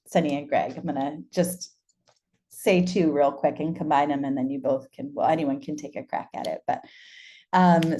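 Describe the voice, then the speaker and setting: A woman's voice: sits low, warm tone. A woman with a friendly, encouraging manner, hosting and moderating an online question-and-answer session. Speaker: webinar host and moderator. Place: online video call.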